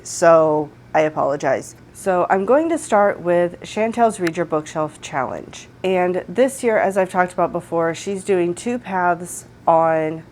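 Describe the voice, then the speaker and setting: A woman in her thirties, slightly congested from a cold, chatting casually and warmly about books she has read, from her home. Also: on the low side, slight background noise. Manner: friendly, chatty, upbeat. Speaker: book vlogger. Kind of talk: casual book wrap-up chat. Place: home room. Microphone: desk microphone.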